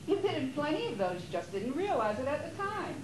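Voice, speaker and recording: A woman's voice: sits high, very animated, moderate pace. Soothing patient wife played by a woman, very noisy. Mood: soothing, reassuring. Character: Helen, gentle reassuring wife, soothing and patient. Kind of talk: amateur stage play acting. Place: theater during a rehearsal. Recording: echoey, very noisy theater rehearsal tape.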